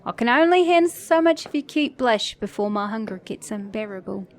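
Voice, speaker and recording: A woman's voice: a little high, expressive, brisk. A woman voicing a flirty, sassy, caring mechanic in an intimate ASMR romance roleplay, teasing the listener as her date. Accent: British or Australian.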